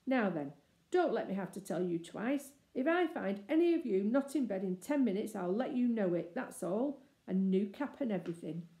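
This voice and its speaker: A woman's voice: medium pitch, expressive, normal speed, reverberant, lightly acted. A woman voicing an angry housemaid in a children's storybook, scolding in an indignant, threatening manner. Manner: sharp-tongued, indignant, threatening.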